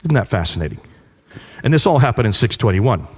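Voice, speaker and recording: A man giving a lecture to an audience, telling a story with animated delivery and rhetorical questions. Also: fairly low pitch, fairly quick, slightly rough.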